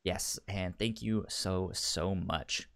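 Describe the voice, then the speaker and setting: A man co-hosts a laid-back podcast, talking things over in relaxed chat. He stumbles often, repeating and restarting words as he works out what to say. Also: deep voice, creaky. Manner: halting, many stammered restarts. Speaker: podcast co-host.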